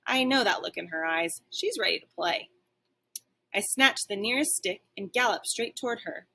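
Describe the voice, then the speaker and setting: A woman's voice: mid-range voice, expressive, normal speed, vocal fry, sharp tone. A woman reading a children's story aloud like a teacher, expressively, with dramatic and varied emphasis.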